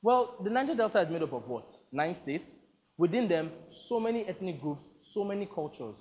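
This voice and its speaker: A man voicing a polite, earnest young actor who speaks in a measured, respectful way. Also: high-pitched, very animated, a little mellow, in a large hall. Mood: careful.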